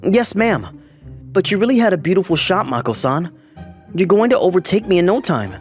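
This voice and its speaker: A man's voice: high-pitched, big pitch swings, moderate pace, soft background music. A man playing a polite, earnest young businessman who narrates his own story in a manga dub. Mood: grateful, eager.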